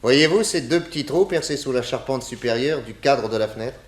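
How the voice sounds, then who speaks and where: A man playing a shrewd, courteous private detective in a radio serial, speaking in a deliberate, inquisitive, polite manner. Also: mid-range voice, animated, breathy edge. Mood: investigating, curious. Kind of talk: old French radio detective serial acting.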